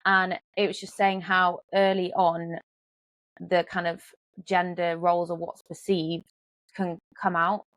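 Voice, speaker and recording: A woman's voice: on the low side, little pitch movement, measured pace, fairly bright tone, dry recording. A woman guest on a podcast, earnestly retelling something she saw in casual chat.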